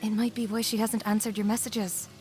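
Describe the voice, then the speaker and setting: A woman's voice: medium pitch, level tone, fairly quick, a little mellow, faint music behind, close to an everyday voice. A woman playing a soothing, attentive wife in an audio drama, polite and reassuring in manner. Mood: politely concerned. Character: soothing, attentive new wife with veiled intentions.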